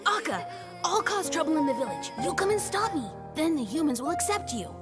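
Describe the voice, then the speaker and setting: A woman voicing a kind, self-sacrificing young oni from a fairy tale told in a video game, gentle and earnest. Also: sits high, very animated, fairly quick, loud music behind the voice, voice pushed up.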